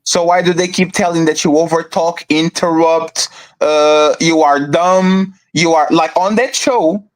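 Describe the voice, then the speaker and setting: A man's voice: fairly high pitch, fairly even intonation, unhurried, poor audio. Man lecturing his co-host, repeating himself. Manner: lecturing, repeats words, uses basketball comparisons. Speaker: podcast co-host and moderator. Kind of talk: heated argument among podcast hosts.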